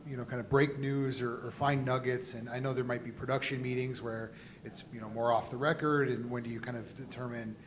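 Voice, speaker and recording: Man, mid-pitched voice, panel moderator, roundabout questions, clearly noisy rumbly recording. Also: flat delivery, a little fast.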